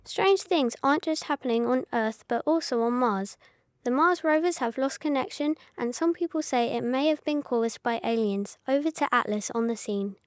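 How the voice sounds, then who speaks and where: A woman's voice: high voice, moderate pace, no echo, natural voice. A young woman playing a polished, composed news anchor in a scripted radio drama. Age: young adult. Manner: formal, composed newsreading.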